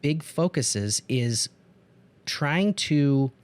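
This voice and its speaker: A man's voice: fairly high pitch, animated, drawn-out words. A man hosting a podcast in casual conversation, speaking enthusiastically and gushing about the things he loves. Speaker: podcast host and producer.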